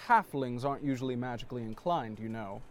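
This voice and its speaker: A man's voice: medium pitch, quick pace, a little hiss or hum, lightly acted. A man playing a pompous, fussy swordsman in a fantasy audio drama, speaking in a formal way. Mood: curious, stubborn.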